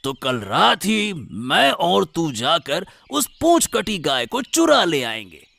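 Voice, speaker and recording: An actor playing a sly older village cattle thief in an animated moral story, with a gravelly, husky voice. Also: male voice, fairly high pitch, slow, no room sound, full character voice. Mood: conspiratorial.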